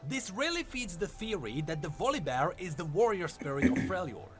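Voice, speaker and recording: A man's voice: sits high, sing-song, normal speed. A man reading scripted lore narration in a dramatic storytelling manner. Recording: music under the narration. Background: background music.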